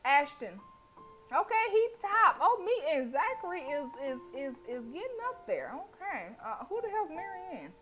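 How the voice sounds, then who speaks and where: A woman's voice: high-pitched, moderate pace, faint music behind. A woman streaming a visual novel, reading the story aloud and reacting with brash, profane, slangy commentary. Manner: brash, animated and profane, with slangy trash talk.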